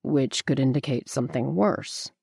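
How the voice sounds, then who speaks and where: A woman reading the part of a blunt, sarcastic young man who needles his friend, in a deliberately lowered voice. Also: vocal fry, a little mellow, slightly rough, no room sound, studio quality, exaggerated voice. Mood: needling. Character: brash, teasing young man, male friend.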